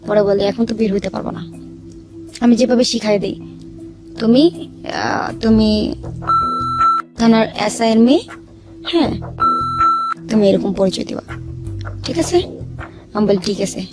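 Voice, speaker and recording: A woman's voice: a little high, moderate pace, long pauses. A woman with a Bangladeshi accent tells her life story informally as a guest, acting out the dialogue as she goes. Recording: music under the voice.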